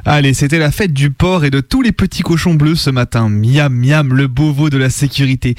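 A man's voice: mid-range voice, normal speed, darkish tone, soft background music. A man with a French accent from France reading scripted radio editorials as a host, with a sardonic, engaged delivery. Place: radio studio.

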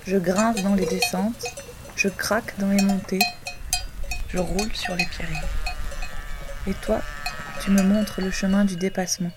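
A woman reciting a poetic text in a calm, measured way, sometimes dropping to a hush. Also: medium pitch. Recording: noisy background.

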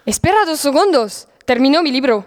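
A woman's voice: high voice, animated, fairly quick, loud music behind the voice. A teenage girl playing a dreamy, indignant knight, Don Quixote, in a student audio drama. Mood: determined.

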